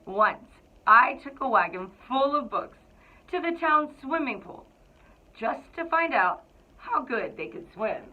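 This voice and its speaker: A woman reading a children's picture book aloud to school students in an expressive, deliberate storytelling way. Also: sits high. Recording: thin, phone-like narrow-band sound.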